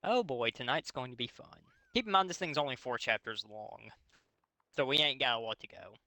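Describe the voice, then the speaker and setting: A man reads fan fiction aloud as host and pokes fun at it. His voice is very bright and forceful, with casual swearing, and the recording is dry and close. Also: medium pitch, fairly quick, no room sound. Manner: animated, sweary, mocking. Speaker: host reading a story aloud. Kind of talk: fanfiction narration with comic, casual commentary.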